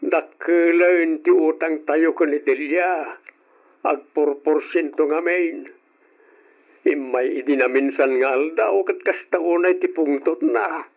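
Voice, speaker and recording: An actor playing a worried old father in debt, speaking gently and pleading in an old radio drama. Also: on the high side, slow pace. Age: older. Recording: dull old radio recording.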